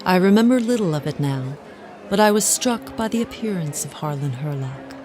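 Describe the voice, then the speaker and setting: An actress playing a resentful, well-to-do wife, narrating formally and dramatically in an audio drama. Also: female voice, fairly low pitch, lively intonation, moderate pace, music in the background, close to an everyday voice. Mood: ominous. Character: resentful, well-to-do wife recounting her confession.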